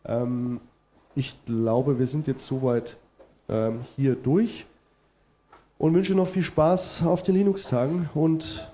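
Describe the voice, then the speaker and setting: A man's voice: medium pitch, fairly even intonation, slow, dark tone. A man with a cold presenting at a conference and answering audience questions, with many fillers and restarts. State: has a cold.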